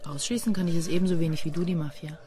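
A woman's voice: on the low side, steady tone, racing, dark tone, soft background music, natural voice. Dry, sardonic policewoman, played by an actress. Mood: businesslike, dry. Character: cool, quick-witted female police detective. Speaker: radio drama actor. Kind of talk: German crime radio play acting.

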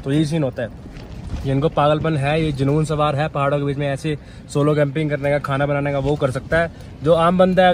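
A man's voice: on the high side, slight background noise. A young man chatting casually and informally to camera as a solo travel vlogger, outdoors. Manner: informal, bro-peppered talk. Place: outdoors at a mountain campsite.

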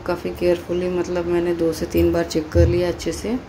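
A woman with a somewhat low voice narrates her family's train journey like a casual travel vlog. She talks loosely and chattily, dropping in English words and fillers. Faint noise hums under her voice. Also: completely flat delivery, brisk, dark tone. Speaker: travel vlogger and mother.

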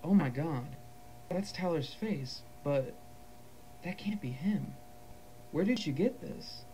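A man playing a frightened, disbelieving boy witness in an analog horror drama, speaking hesitantly and in a hushed way. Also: a little high, lively intonation, slow pace, a little hiss or hum, low-quality recording. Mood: shocked. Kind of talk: analog horror found-footage acting.

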